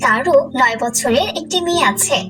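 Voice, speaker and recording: A woman's voice: high-pitched, normal speed, far from the mic, over music. A woman reading a scripted moral story for children as narrator.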